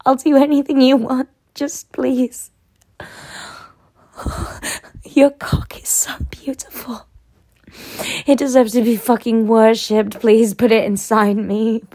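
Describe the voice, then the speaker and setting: A woman's voice: on the high side, little pitch movement, a little slow, few pauses, mellow. A young woman acting in an adult audio roleplay, playing a submissive character who pleads and often drops into a whisper. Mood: begging.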